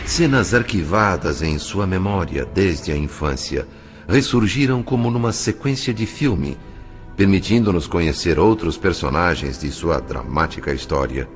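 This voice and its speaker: A man's voice: low-pitched, expressive, unhurried, soft background music. A man with a Brazilian accent playing a solemn, compassionate, fatherly spirit who narrates an audio drama. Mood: solemn, tender.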